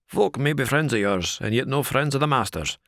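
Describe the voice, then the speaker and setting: A man voicing a gruff, burly former prize-fighter who keeps the door, blunt and rough-spoken. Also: medium pitch, expressive, a little fast, fairly bright tone, dry recording, clean studio sound, voice pushed up. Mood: stubborn. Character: gruff burly male ex-boxer doorkeeper.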